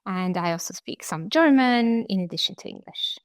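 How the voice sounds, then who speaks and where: Woman, program director answering questions, measured and explanatory, mid-pitched, dry close sound. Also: expressive, no echo. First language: Turkish. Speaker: study abroad program director. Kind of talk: interview answers.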